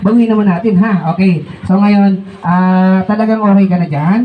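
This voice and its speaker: A man's voice: sits high, normal speed, muffled recording. A Filipino man hosting playful comedy banter, asking and repeating questions and often laughing as he talks. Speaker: comedy show host interviewing a performer. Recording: distant mic with crowd noise.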